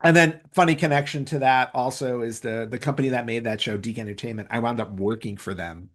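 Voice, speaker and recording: Male podcast host, mid-pitched voice, casual countdown chat. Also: a little fast.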